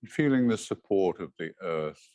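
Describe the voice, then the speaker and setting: A man leading a guided meditation as a teacher, giving gentle, measured instructions in short phrases with pauses. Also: low voice, animated, no echo.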